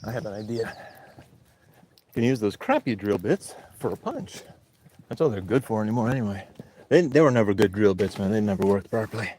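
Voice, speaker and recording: A man's voice: on the low side, animated, fairly quick, a little mellow. A man hosting a homestead livestream, sniffly from allergies, talking to himself and swearing casually while he works outdoors, picked up by a camera set up nearby. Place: on his homestead, working outdoors. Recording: noisy work-site recording.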